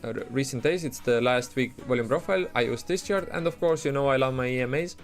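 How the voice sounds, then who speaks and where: A man's voice: medium pitch, fast talker, soft background music. A man with an Estonian accent, talking in a loose, chatty way as he streams live and answers his chat, with many restarts and fillers. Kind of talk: casual live-stream commentary.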